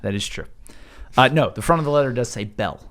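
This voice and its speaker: A man's voice: medium pitch, brisk, a bit bright. A man working as game master, narrating a tabletop game casually and bantering playfully with his players.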